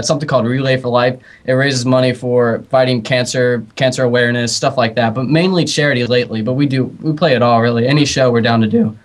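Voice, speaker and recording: Earnest man answering radio interview questions. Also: mid-range voice. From outside the UK. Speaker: band member, interview guest.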